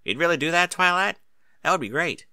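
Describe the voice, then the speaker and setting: A man's voice: on the high side, lively intonation, fairly quick, bright, forceful tone, clear, resonant tone, no echo, studio quality, voice pushed up, exaggerated voice. A man voicing a practical farm mare, a protective, exasperated big sister with plain-spoken, folksy talk. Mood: grateful.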